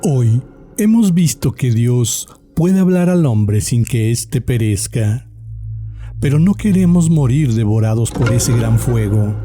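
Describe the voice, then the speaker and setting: A grave preacher with a mid-pitched voice. He intones scripture very slowly and deliberately, as in an apocalyptic audio drama. Music is clearly heard behind him. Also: lively intonation, mellow. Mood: solemn, foreboding. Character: solemn male preacher reading apocalyptic scripture.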